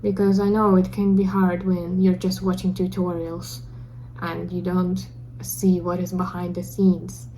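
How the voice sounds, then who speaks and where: A young woman with a Russian accent speaks in a soft, mellow voice, sincere and slightly self-conscious as she talks to her camera. A faint hiss sits under her voice. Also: fairly low pitch, completely flat delivery, measured pace, rough recording. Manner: self-conscious, earnest, a little awkward. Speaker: vlogger. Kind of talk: casual talk to camera. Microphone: camera mic.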